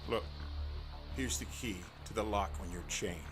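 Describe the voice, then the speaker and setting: A man's voice: on the low side, unhurried. A man voicing a corrupt police detective, a desperate, possessive lover who pleads intensely, over an audio drama's music. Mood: urgent pleading. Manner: pleading and intense, swinging from hushed to shouting.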